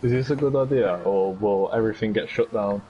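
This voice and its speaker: A man co-commentating a sim racing qualifying session, calling positions in a measured way and joking along with his partner. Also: on the low side. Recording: dull lo-fi stream audio with faint hiss.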